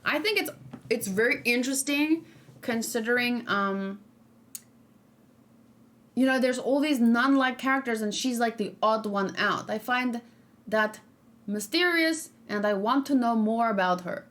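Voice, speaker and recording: Young woman, live streamer, casual rambling chat, fillers, slightly high voice, excited gasps. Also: unhurried. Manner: chatty, rambling, frequent "um" and "like", gasps with delight. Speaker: streamer reacting to game character.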